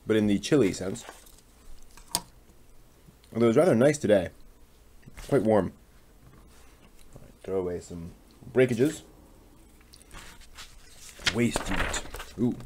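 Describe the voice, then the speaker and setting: A man with a fairly low voice hosts a relaxed hobby stream. He chats casually to viewers and mutters to himself as he works through small steps. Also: a little hiss or hum. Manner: talks to himself while working. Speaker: host. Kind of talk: casual hobby livestream chat while building a model kit.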